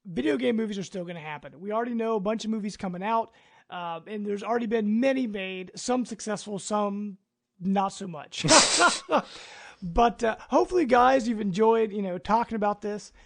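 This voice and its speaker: A man with a high-pitched voice and very heavy creak hosts a casual podcast. He talks in an enthusiastic, informal, rambling way. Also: normal speed. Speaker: podcast host.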